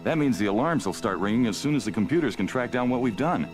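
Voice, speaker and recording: An actor playing a cocky, wry space smuggler in a space opera audio drama, with a dry sardonic manner. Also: male voice, mid-range voice, lively intonation, fast talker, music in the background, lightly acted. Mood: urgent. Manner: dry, sardonic, sure of himself.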